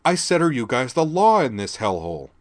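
A man voicing a loud, scornful teenage girl tourist who snaps sarcastically at officers. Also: big pitch swings, normal speed. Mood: scornful, bratty. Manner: snappish, sarcastic, shrill.

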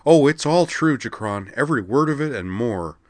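A man's voice: mid-range voice, normal speed, fairly bright tone, no echo, pitched above the performer's own voice, lightly acted. A man reading the part of an outraged young alien woman student, snappish and indignant.

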